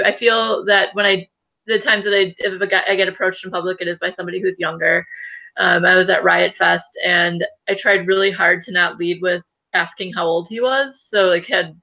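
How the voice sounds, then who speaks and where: A woman's voice: fairly low pitch, bright, forceful tone. A woman chatting hesitantly on a video call, with many fillers. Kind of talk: casual chat. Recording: dull lo-fi webcam audio.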